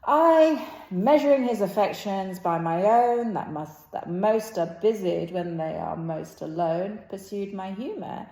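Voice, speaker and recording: In an echoey room, an earnest young nobleman read by a woman tells his account as in a stage play. The telling is unhurried and slightly halting. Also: mid-range voice, animated, warm tone.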